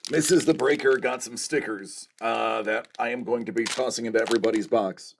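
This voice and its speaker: A man's voice: medium pitch, fairly quick, a little hiss or hum. A man hosting a casual livestream, joking along and reading out viewers' chat messages. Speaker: livestream host.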